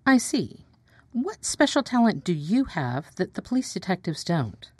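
A woman's voice: lowish voice, sing-song, a little mellow, husky, no room sound, full character voice. A woman playing a slick TV news anchor. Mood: probing. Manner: brisk, probing broadcaster delivery. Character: slick female TV news presenter. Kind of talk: radio drama acting.

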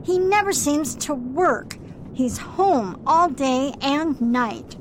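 A woman playing a suspicious, disapproving mother who nags slowly about her daughter's fiancé, in an amateur radio drama. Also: sits high, animated, noisy background. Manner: slow, suspicious, nagging.

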